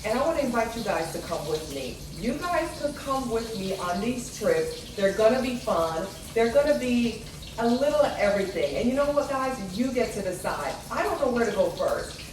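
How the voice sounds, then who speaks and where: Woman cooking at home and chatting to her viewers, really echoey room, mic far away, some noise behind. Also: medium pitch. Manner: chatty, step-by-step, addresses viewers as guys. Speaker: cooking channel host. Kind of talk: casual cooking demo, talking to viewers. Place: home kitchen.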